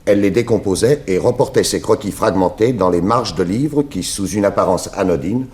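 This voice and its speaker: An actor in a 1960s radio serial playing a confident, methodical private detective who explains things with assurance. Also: male voice, on the low side, normal speed, a little mellow, natural voice. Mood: assured, explaining. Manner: assured, explanatory, crisp deductions.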